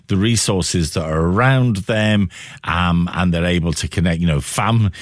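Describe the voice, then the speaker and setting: A man's voice: sits low, a little slow, no echo, clean studio sound. A man with a British accent hosting a conversational radio interview, reflective and given to posing questions. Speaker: radio show host.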